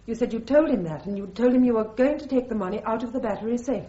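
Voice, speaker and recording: A woman's voice: on the high side, quick pace, mellow, close to an everyday voice. An actress playing a dutiful female army captain giving evidence in a radio drama, formal, precise and earnest. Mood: earnest, reluctant. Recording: dull, band-limited radio recording.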